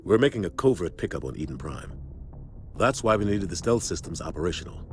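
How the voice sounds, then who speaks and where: An actor playing an authoritative military captain in a video game, explaining things in a grave, firm and earnest manner. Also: male voice, low-pitched, very animated, brisk, music in the background. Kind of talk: video game cutscene acting.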